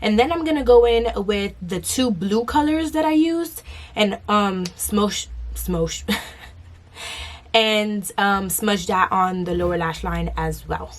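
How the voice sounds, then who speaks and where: Young woman w a hoarse raspy voice, chatty makeup vlog, giggly, quiet mumbly bits. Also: mid-range voice, takes it slowly. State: hoarse, lost voice. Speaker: beauty vlogger. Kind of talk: casual makeup tutorial chat.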